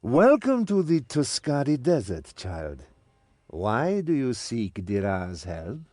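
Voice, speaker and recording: Casting: paternal, cagey desert guide, fantasy game, dark mellow tone, unhurried. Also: male voice, medium pitch, wildly swooping pitch, no echo, clean studio sound. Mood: patronising welcome. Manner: slow, paternal, self-important. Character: shrewd, patronising desert guide, unhurried and mysterious. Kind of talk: fantasy video game voice acting.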